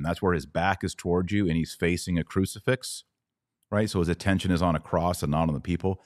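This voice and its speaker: Male podcast host, low voice, dry sound. Explanatory, teacherly commentary with an informal, conversational delivery. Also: darkish tone, no room sound. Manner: didactic, explanatory, informal asides. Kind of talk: casual commentary, explaining to an online audience.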